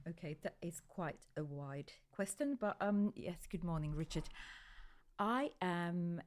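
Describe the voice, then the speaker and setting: A woman from Finland in her forties with a Finnish accent, answering interview questions hesitantly, with many pauses and restarts. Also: on the low side. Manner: hesitant, many ums and restarts, light laughs. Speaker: guest, music photographer.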